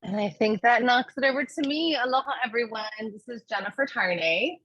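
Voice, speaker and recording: A woman in her forties opens the session from her office on a video call. Her voice is fairly high and close with a dry sound, and she speaks in a friendly way with a few ums. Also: a little fast, fairly bright tone, dry recording, muffled recording. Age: 40s. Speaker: principal investigator and meeting host. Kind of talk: webinar introduction.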